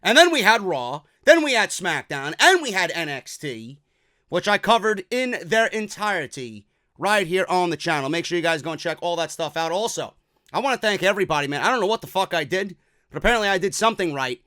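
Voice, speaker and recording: Bright, high-voiced energetic man. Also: fairly quick, no echo, clean studio sound. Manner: energetic, casual and swearing. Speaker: wrestling news host. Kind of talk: casual wrestling news commentary. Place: office at a desk.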